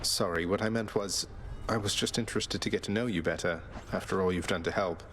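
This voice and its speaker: Low-voiced young prince. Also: male voice, a little fast, fairly bright tone, a little hiss or hum. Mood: curious, sincere. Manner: polite, understated, sincere. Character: smooth, courteous, wry young god prince. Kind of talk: video game voice acting. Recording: music under the voice.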